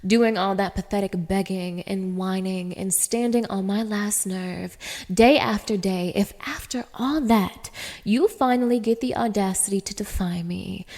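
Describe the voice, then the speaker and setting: A woman's voice: mid-range voice, a little mellow. A woman playing a cruel, haughty young dominatrix in an audio roleplay, speaking straight to the listener with taunting, commanding contempt. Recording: reverberant, echoing room.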